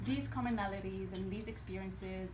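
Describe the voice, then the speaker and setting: A woman's voice: medium pitch, normal speed. Woman speaking English, Arabic as first language. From Akka. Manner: earnest, many ums and rephrasings. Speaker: panel speaker. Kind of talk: public talk at an event. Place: small restaurant venue. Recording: noticeable background noise in a venue.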